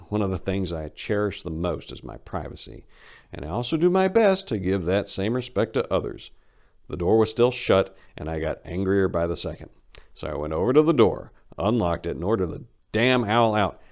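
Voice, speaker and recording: A man's voice: deep voice, very animated, moderate pace, a little mellow. A man narrating a first-person comic short story as a dramatic reading.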